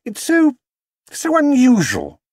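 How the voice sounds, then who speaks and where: A man voicing a lively, eager, bossy but fond young girl in a children's story. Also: very high-pitched, slow pace, dry recording, clean studio sound, voice pushed up, exaggerated voice. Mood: pleading.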